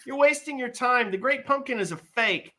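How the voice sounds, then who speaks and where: A man's voice: very high voice, normal speed, bright, forceful tone. A man voicing a bossy, scornful young cartoon girl in a scolding, blunt manner at a live table read. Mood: dismissive.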